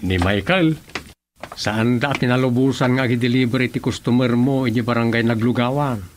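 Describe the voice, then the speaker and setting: A man's voice: mid-range voice, moderate pace, a little hiss or hum, lightly acted. A man with an Ilocano accent playing a plain-spoken villager in a radio comedy drama, explaining matter-of-factly. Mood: reporting.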